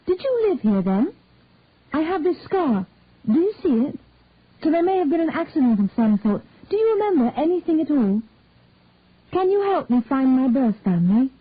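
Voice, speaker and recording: A woman's voice: high voice, sing-song, moderate pace, dry recording, voice pushed up. Brief: woman reading as a young woman on a hopeful search, raised voice, lo-fi dry sound. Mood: pleading. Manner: eager pleading questions. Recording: tinny dry phone-like sound.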